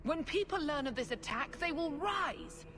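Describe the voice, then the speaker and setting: An actress playing a self-righteous church leader who accuses and preaches. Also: female voice, high-pitched, lively intonation, normal speed. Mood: accusing.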